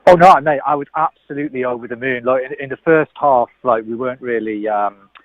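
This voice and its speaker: A man's voice: medium pitch, brisk, dry recording, phone-quality sound. A man giving casual, enthusiastic football analysis on a radio show. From England. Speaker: football pundit.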